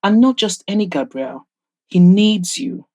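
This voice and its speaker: A woman voicing an excitable, frank young woman who teases her friend in an audio drama, animated and emphatic. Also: mid-range voice, moderate pace, lightly acted. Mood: earnest, persuasive. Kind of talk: audio drama character dialogue.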